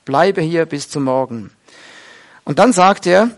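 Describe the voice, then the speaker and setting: A man's voice: on the high side, takes it slowly. A middle-aged man preaching a sermon, explaining a Bible passage in an earnest way with frequent hesitations.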